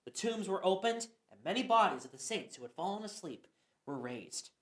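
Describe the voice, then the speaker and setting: A man's voice: sits high, sing-song, normal speed. A man narrating scripture for a church radio play, reading earnestly and sometimes stumbling. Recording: echoey room.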